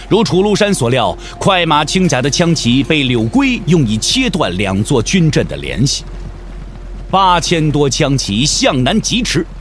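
Highly expressive, higher-pitched male narrator. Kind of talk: dramatic scripted narration of a wuxia novel.

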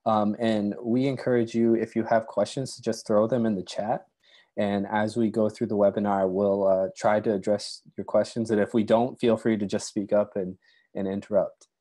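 A man's voice: lowish voice, level tone, normal speed, warm tone. A young man with a cold, nearly losing his voice, moderating a webinar in an easygoing way full of ums, on an earbud mic from a small room at home. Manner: easygoing, many ums and uhs. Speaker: doctoral candidate, webinar moderator. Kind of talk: casual webinar talk. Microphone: earbud headset mic, video call.

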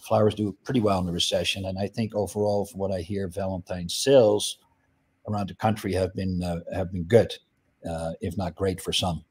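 A man's voice: low voice, fairly even intonation, normal speed, slightly rough. A middle-aged man from the Netherlands, explaining his business in a video call interview with many fillers. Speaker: guest, flower business owner. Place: indoor room.

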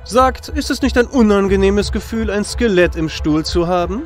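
A man's voice: sits high, expressive, moderate pace, faint music behind. A man playing a cocky, fearless young-spirited hero in a fantasy audio drama, taunting cheekily.

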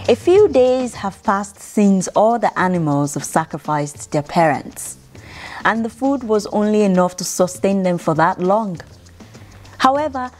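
A young woman from Nigeria reads a children's bedtime story in a measured, expressive way, with dramatic pauses. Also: medium pitch. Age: young adult. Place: indoor room, seated by a piano. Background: music.

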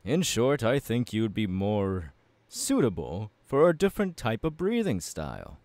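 A man playing a confident, fiery anime swordsman mentor in an ASMR audio roleplay, talking to the listener with measured, dramatic pauses. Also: fairly low pitch, huge pitch swings, a little mellow, no echo, exaggerated voice. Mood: welcoming, instructive. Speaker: voice actor.

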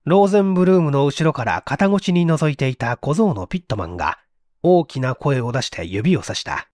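A man's voice: medium pitch, very animated, a little fast, a little mellow, dry recording, clean studio sound. A man narrating a mystery story from a script in a theatrical, suspenseful manner. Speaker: audiobook narrator, stage actor.

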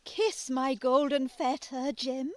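Actress as a gushing, high-voiced adoring wife. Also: female voice, steady tone, slow. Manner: flowery, gushing, theatrical endearments. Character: gushing, adoring romantic wife with affected airs. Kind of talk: radio play acting.

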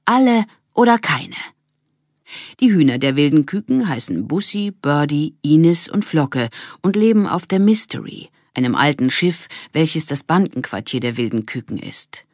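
A woman reading a children's audiobook as narrator, with expressive scripted storytelling. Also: low-pitched, moderate pace, hardly any pauses, dry recording, clean studio sound.

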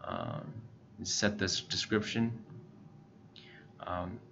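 A man giving a casual software walkthrough as a tutorial presenter, speaking informally and a bit hesitantly with frequent short pauses. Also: low voice, huge pitch swings. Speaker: tutorial presenter for a scanner retailer. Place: indoors, voice-over for screen recording. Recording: muffled, lo-fi with clear background noise.

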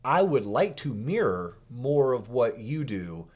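A middle-aged man hosts a podcast with bursting, animated energy and playful self-mockery. His voice sits at an ordinary pitch for a man. He speaks into a close studio microphone. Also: husky. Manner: high-energy, quick and animated, self-deprecating banter. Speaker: podcast host. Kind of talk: casual podcast chat. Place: podcast studio with curtained backdrop. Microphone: studio microphone on a stand, headphones on.

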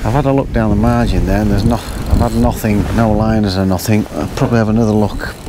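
Man, casual fishing commentary, lowish voice, windy lakeside, clear wind noise on the mic. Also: steady tone, quick pace. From England. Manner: chatty, addresses viewers as folks. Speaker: angler presenting a fishing match. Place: outdoors by a lake in wind.

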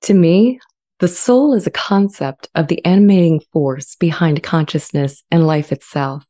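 A woman performs a sentient artificial intelligence in a dramatized dialogue. The voice is low and soft-edged, with a mellow tone. It speaks serenely and reflectively, in measured, gentle phrases. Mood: serene, thoughtful. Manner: measured, calm, reflective delivery. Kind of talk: dramatized transcript reading. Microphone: large studio condenser mic up close.

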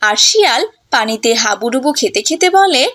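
Female storyteller for children's cartoon: high voice, lively swooping intonation, scripted narration. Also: normal speed.

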